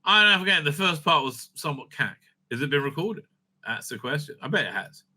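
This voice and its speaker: Man, high-pitched, piercingly bright, excitable, stammering, sweary, off-the-cuff opinionated commentary. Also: quick pace. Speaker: host of a fan news commentary video. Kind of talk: unscripted, profane opinionated commentary.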